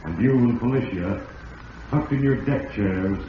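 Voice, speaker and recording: Casting: male actor for Fate, portentous and deliberate radio narrator, heavily reverberant, on a dull hissy old recording. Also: on the low side, lightly acted. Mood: ominous, solemn. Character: Fate personified, ominous all-knowing male narrator.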